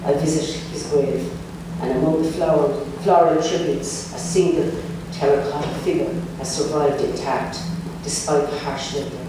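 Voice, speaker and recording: A man reading a personal memoir piece aloud at a book launch, quiet and solemn. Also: a little high, little pitch movement, moderate pace, few pauses. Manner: quiet, solemn reading, then warm thanks. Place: large echoey museum hall. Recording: echoey hall, voice from far off, noisy.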